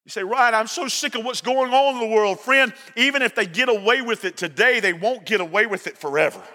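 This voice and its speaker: A man's voice: high voice, brisk, bright, forceful tone. A man preaching an impassioned, confrontational sermon, driving home repeated rhetorical questions. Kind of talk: fiery live sermon to a congregation. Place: large indoor hall with a congregation. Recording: reverberant large hall.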